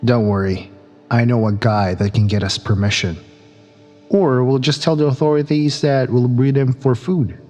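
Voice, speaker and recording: An actor plays a pragmatic male crewman in a science fiction audio drama. His voice is mid-pitched, with a dry, reasoning manner that turns exasperated. Faint music runs underneath. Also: steady tone, moderate pace, darkish tone, natural voice. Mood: teasing, easygoing. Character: wry, pragmatic male space crewman and partner.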